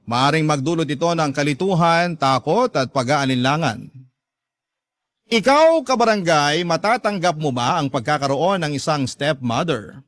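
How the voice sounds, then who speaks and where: Filipino storyteller, dry higher-pitched male voice. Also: lively intonation, normal speed, a bit bright, no room sound. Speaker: radio host reading a listener's letter. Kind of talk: dramatic scripted storytelling.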